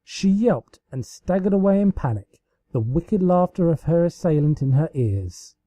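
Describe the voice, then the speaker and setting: A man reading a story aloud as an amateur narrator, with expressive scripted delivery. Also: fairly high pitch, moderate pace, no echo.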